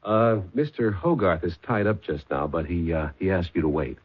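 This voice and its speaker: An actor playing a shrewd, terse police sergeant in a 1970s radio mystery, matter-of-fact and methodical. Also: male voice, low-pitched, lively intonation, normal speed, slight background noise. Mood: feigned friendliness. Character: shrewd, hard-boiled male police sergeant. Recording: muffled lo-fi 1970s radio recording.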